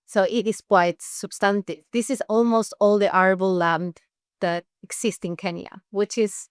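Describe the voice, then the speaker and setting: A woman from Peru, an NGO research head, explaining things in an interview with measured, rhetorical questions. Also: mid-range voice, fairly even intonation, smooth, dry recording, studio quality.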